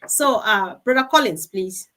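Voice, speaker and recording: A woman's voice: mid-range voice, lively intonation, a little slow, pushed and bright. A woman hosting a live online group discussion, speaking in an encouraging, effusively thankful manner. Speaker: host of an online team meeting.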